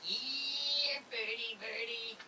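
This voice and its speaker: A woman's voice: a little high, fairly even intonation, recorded from a distance, heavy background noise. A woman playing a childlike, simple-minded male helper in an audio drama, naive and whiny. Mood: naive, coaxing. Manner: slow, naive, whimpering when scared.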